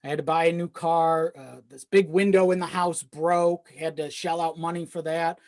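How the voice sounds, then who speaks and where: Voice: medium pitch, fairly even intonation, moderate pace, a little mellow, no echo. Rambling storyteller, dry close sound. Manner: rambling, often chuckling while talking, swears casually. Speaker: podcast guest. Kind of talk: casual chat, personal storytelling.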